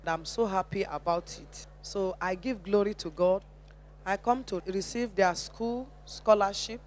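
A woman's voice: mid-range voice, a little slow, slight background noise. Nigerian woman giving earnest religious testimony. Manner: earnest, devout, emphatic phrasing. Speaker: church member giving testimony.

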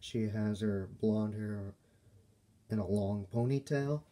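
A man giving a casual, unscripted show-and-tell as a toy reviewer, speaking in halting, broken phrases. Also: on the low side, mellow, slight background noise. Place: indoors at a table by a window.

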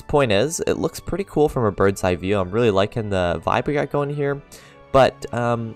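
Congested man narrating a game. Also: on the low side. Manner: rambling, with many fillers and restarts. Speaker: let's play host. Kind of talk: casual gameplay commentary. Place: his own room at home.

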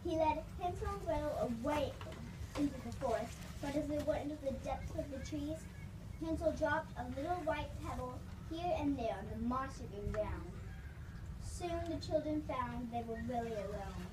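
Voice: sits high, normal speed. A young narrator reading a scripted fairy tale for a puppet show. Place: classroom puppet theatre. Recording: distant mic, noisy classroom.

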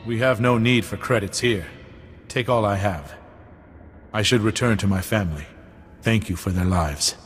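Man doing a tired earnest runaway dad, low, reverby. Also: normal speed, pauses often, faint music behind, close to an everyday voice.

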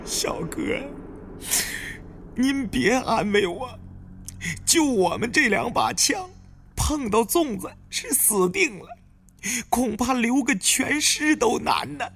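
A man's voice: extremely high pitch, very animated, takes it slowly, pauses often, a bit bright, slight background noise, pitched above the performer's own voice, exaggerated voice. A man voicing a tearful, cowardly male adviser, whining with fear in an audiobook. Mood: tearful, despairing. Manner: whining, fearful. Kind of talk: audiobook character acting.

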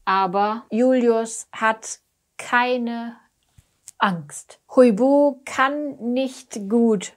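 A young woman from Germany teaching with slow, simplified storytelling for language learners, using simple phrases, pauses and emphasis on key words. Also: fairly high pitch. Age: young adult. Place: small attic room indoors. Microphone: lapel mic.

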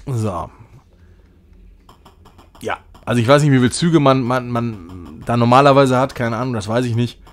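A man's voice: mid-range voice, moderate pace, pauses often. A man doing casual, jokey live-stream commentary as he plays a game, chatty and self-ironic. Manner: jokey, chatty, self-ironic, slipping into mock-grand English.

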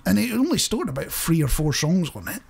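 Animated, creaky-voiced male radio host. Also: fairly high pitch, brisk. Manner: jokey, chuckles often. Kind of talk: casual radio chat. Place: small indoor radio studio. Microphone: studio microphone on boom arm.

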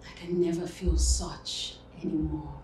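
A Nigerian woman playing a wounded, vengeful big sister in a dramatic monologue, speaking in an intense, breathy, halting hush. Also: low-pitched, fairly even intonation. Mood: bitter, numb. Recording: echoey room, background noise.

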